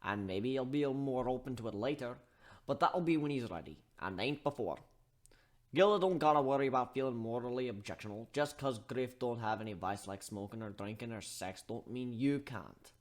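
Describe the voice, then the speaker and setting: A man's voice: medium pitch, normal speed, natural voice. A man voicing a big-hearted giant minotaur with a blunt, folksy, bossy way of talking. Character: gruff, big-hearted giant male minotaur airship crewman.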